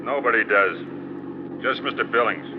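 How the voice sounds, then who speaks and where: An actor playing a surly, tight-lipped hired driver, terse and unhelpful, in a 1950s radio drama. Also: male voice, mid-range voice, normal speed, lightly acted. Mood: terse, indifferent. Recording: dull old radio recording, loud car noise.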